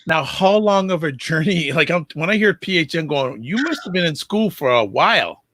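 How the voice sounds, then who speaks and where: A man's voice: fairly high pitch, animated, a little fast, bright, forceful tone, no room sound, clean studio sound. A man hosting an interview show, friendly and playful, often stumbling over his questions. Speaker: show host.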